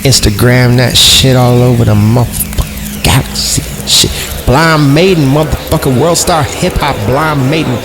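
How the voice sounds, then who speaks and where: A man's voice: medium pitch, normal speed. A man reading horror stories on a podcast, breaking off into irreverent, slangy, sweary joking commentary. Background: music.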